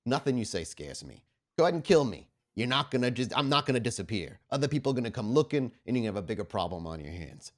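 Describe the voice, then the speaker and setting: A man's voice: medium pitch, big pitch swings, quick pace. A man playing a defiant, foul-mouthed anarchist vampire captive who talks like a street tough, in a tabletop game. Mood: bitter, defiant. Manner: profane, snarling street-tough talk.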